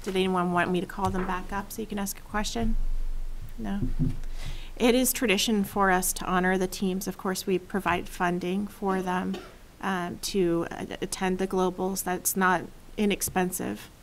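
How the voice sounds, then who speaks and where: Gravelly-voiced woman chairing a board meeting. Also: mid-range voice, flat delivery, moderate pace, a little hiss or hum, poor audio. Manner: polite, procedural, apologetic.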